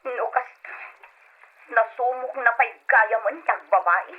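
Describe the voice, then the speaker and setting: A thin, phone-like line carries an earnest, concerned mother in an old radio play. Her voice is somewhat high, with a breathy roughness. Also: takes it slowly. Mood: dismayed. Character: concerned mother working away from home. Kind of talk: old radio drama acting.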